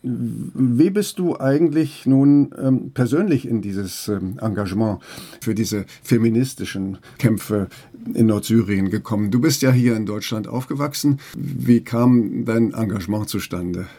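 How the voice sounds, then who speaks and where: A male interviewer with a mellow, darker tone asks his questions slowly and carefully. He often pauses on fillers before he goes on. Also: mid-range voice, husky. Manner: measured, slow, frequent fillers. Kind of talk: radio interview.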